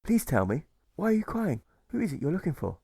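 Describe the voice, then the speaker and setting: A man acts out a storybook freed prisoner, a gentle and saintly man. He speaks earnestly and soothingly in a lifted pitch, recorded dry. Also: wildly swooping pitch, brisk, creaky, no room sound, exaggerated voice. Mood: concerned.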